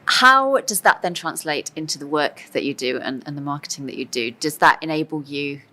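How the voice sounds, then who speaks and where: A woman's voice: low voice, animated, fairly quick, sharp tone. A woman hosting a podcast interview, asking encouraging follow-up questions in a conversational style. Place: conference venue.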